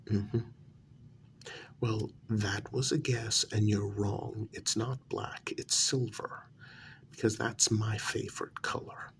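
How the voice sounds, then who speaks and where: A man playing a frustrated, delusional psychiatric patient who thinks he created his world, in a soft-spoken ASMR role-play, breathy and often whispering, with halting, pointed questions. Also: on the low side, fairly even intonation, slight background noise. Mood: frustrated, challenging.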